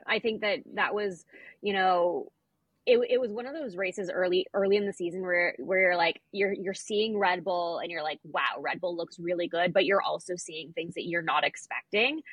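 A woman's voice: fairly low pitch, a bit bright, slightly rough, no echo, muffled recording. A woman co-hosting a podcast, talking about sports in an informal, conversational, emphatic way. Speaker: podcast co-host. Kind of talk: casual sports chat.

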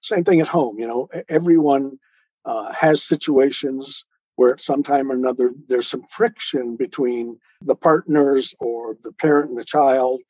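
A man's voice: mid-range voice, fairly even intonation, moderate pace, a little mellow, husky, dry recording, muffled recording. A man speaking as an interview guest, explaining his views as an author in a reflective, conversational way. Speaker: guest author, storyteller and podcaster.

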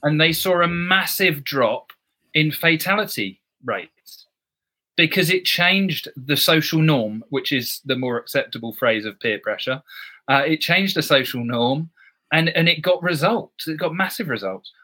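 A man's voice: fairly high pitch, animated, unhurried, pushed and bright. A man who is a driving instructor guest on a podcast, talking through his view in a reflective way. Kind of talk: podcast discussion.

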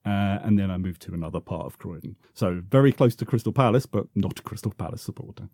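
Mellow, low-voiced London man in his fifties. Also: fast talker, vocal fry, no echo. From South London, England. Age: 50s. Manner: hesitant, rambling, self-correcting. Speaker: interview guest, football podcaster. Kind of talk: casual reminiscing interview.

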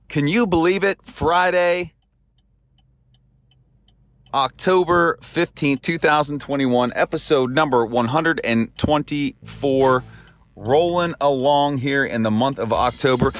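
A man hosting a solo sports podcast, talking through upcoming games in an upbeat, chatty way, his voice temporarily husky. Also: on the high side, lively intonation, slow, pauses often, a bit bright, no room sound. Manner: upbeat, chatty analysis with a cheerful sign-off. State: voice temporarily husky and lower than his norm. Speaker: podcast host.

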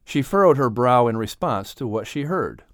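A man's voice: mid-range voice, animated, moderate pace, dry recording, clean studio sound. A man reading a scripted children's animal story as an audiobook narrator.

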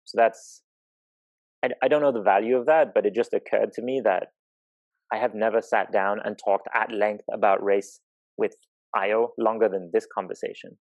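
A man from Zimbabwe speaking reflectively in conversation, thoughtful and halting as he searches for words. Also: fairly low pitch, fairly even intonation, a little mellow, no room sound, muffled recording. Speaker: interview guest, professional climbing route setter.

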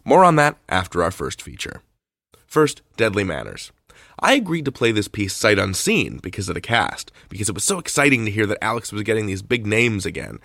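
A male podcast host gives a conversational introduction with a playful streak. His voice is on the low side, creaky at the edges and widely varied in pitch, recorded close and dry. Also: big pitch swings, fairly quick, pushed and bright, dry recording.

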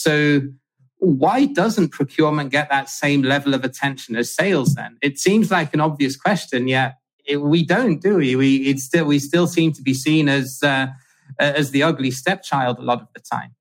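A man's voice: mid-range voice, normal speed, rough recording. A man hosting a livestreamed podcast interview, asking questions conversationally and often restarting his phrases. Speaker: podcast host.

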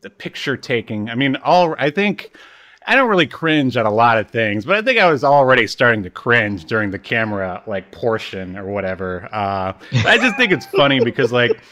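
A man's voice: mid-range voice, big pitch swings, moderate pace, bright, forceful tone. A man co-hosting a podcast, chatting casually and comedically with a joking, sarcastic way of talking. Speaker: podcast co-host. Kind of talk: casual comedic chat about a reality TV show.

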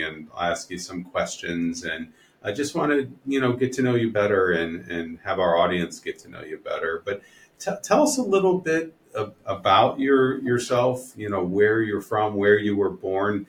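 A man who is an attorney hosting a podcast, asking questions in a friendly, halting way with frequent restarts. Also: deep voice, echoey room. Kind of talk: podcast interview.

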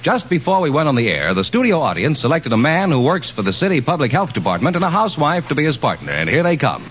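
A man's voice: a little high, sing-song, quick pace, fairly bright tone. An American man announcing a radio quiz show in a scripted, formal style. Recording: dull, hissy old radio broadcast.

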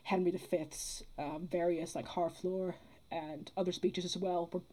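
Young woman, husky and a bit low, chatting earnestly, kinda rambles and doubles back on phrases. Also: flat delivery, measured pace, dark tone, low-quality recording. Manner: earnest, rambling, repeats phrases as she builds an argument. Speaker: podcast guest, theatre producer. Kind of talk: casual discussion.

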